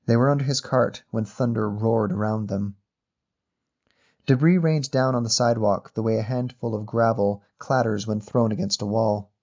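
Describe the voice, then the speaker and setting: A man reading a literary short story as narrator in a measured, descriptive manner. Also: lowish voice, dark tone, lo-fi sound.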